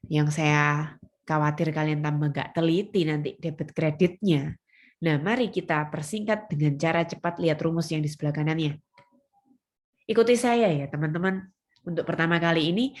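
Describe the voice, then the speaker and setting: A woman lecturing students step by step, stressing key terms and answering her own questions. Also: fairly low pitch. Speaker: accounting lecturer.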